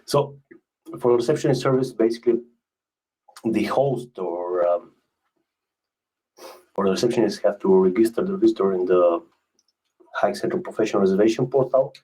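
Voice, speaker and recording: A man giving a webinar product presentation as a specialist, speaking in a hesitant, halting way and often repeating words. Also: fairly low pitch, dark tone, husky, low-quality recording.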